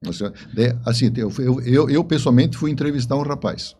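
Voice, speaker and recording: A man's voice: mid-range voice, moderate pace, mellow, a little hiss or hum. A man speaking as an interview guest, telling stories conversationally and repeating phrases for emphasis. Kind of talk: conversational storytelling.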